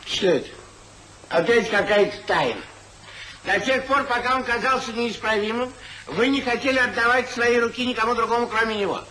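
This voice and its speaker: An actor playing a fussy, indignant elderly guardian in a 1950s radio comedy, speaking emphatically and theatrically. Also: male voice, extremely high pitch, normal speed, pushed and bright, poor audio. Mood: exasperated. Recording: faintly hissy 1950s radio recording.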